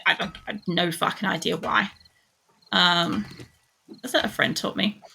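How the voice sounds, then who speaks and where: A young woman streaming a game and chatting casually to her viewers, mostly flat in tone, rambling with lots of "like" and the odd swear. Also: normal speed, gravelly, slight background noise. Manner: mostly monotone, jumps much higher when excited.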